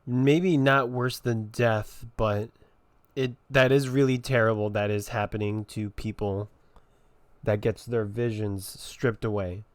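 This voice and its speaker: A man giving casual, halting commentary as he plays through a game, repeating words as he reacts. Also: lowish voice, level tone, no echo. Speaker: gamer playing through a story quest.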